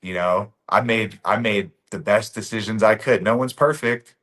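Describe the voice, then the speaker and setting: A man's voice: on the low side, normal speed, a bit bright. A man from Bosnia, a podcast guest talking casually and earnestly, often repeating his key phrases for emphasis.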